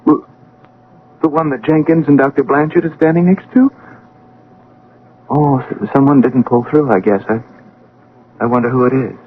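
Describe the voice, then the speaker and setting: An actor as an awestruck scientist on tinny old radio. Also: male voice, medium pitch, fairly even intonation, moderate pace, long pauses, lightly acted. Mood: bewildered, grave. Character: awestruck scientist floating outside his own dead body. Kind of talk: old-time radio drama acting. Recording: narrow-band, noisy old radio broadcast.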